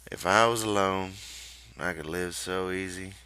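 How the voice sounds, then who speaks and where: A man playing a weary, curt, resigned ranch hand with an American accent and a flat, quiet delivery. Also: low voice, a little slow, clear, resonant tone, no echo. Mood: monotone reciting.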